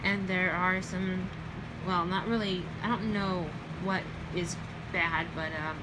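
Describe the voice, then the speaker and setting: A woman's voice: fairly low pitch, steady tone, slow. Rambling young Southern woman, noisy recording. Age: young adult. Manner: rambling, with many ums and self-corrections. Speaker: vlogger. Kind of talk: casual late-night vlog chat.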